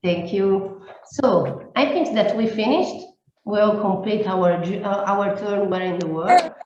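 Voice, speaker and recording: A woman's voice: mid-range voice, takes it slowly, darkish tone, slight background noise. A woman facilitating an online workshop, explaining the steps in English with non-native phrasing. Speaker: meeting facilitator. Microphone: video call. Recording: very echoey, dull online call audio.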